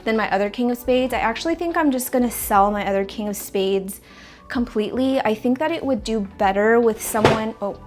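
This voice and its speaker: A sniffly woman chatting casually and rambling a little while she rearranges houseplants in her apartment. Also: fairly high pitch. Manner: chatty, rambling, self-correcting. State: sniffly, stuffy nose. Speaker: plant vlogger. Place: apartment plant room.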